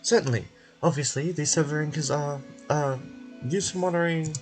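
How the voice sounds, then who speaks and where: A man's voice: on the high side, moderate pace, soft background music, lightly acted. A man voicing an evasive, falsely cheerful institute official in a video game who stammers and blusters pompously. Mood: flustered.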